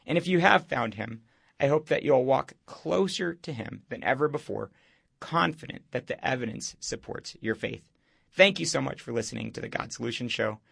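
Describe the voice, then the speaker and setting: A male radio show host, hoarse this morning, speaking in an earnest, preaching way. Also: medium pitch, lively intonation, normal speed, a bit bright, no echo, clean studio sound. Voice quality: gravelly. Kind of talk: evangelistic radio talk.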